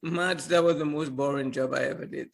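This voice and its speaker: An older man with a lilt and a high-set voice. He tells his story in an animated way, often chuckling and doubling back on his words as a podcast guest. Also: a little fast, vocal fry. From Trinidad and Tobago. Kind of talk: casual storytelling interview.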